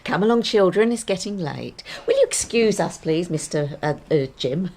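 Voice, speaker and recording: Fussing, deferential aunt, lowish voice, radio play with background noise. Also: female voice, lively intonation, moderate pace, darkish tone. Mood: flustered, polite. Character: polite, flustered aunt, wife and mother. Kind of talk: audio drama acting.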